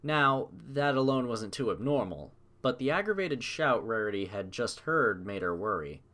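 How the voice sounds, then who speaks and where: Animated male story narrator. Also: mid-range voice, moderate pace. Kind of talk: scripted dramatic story narration.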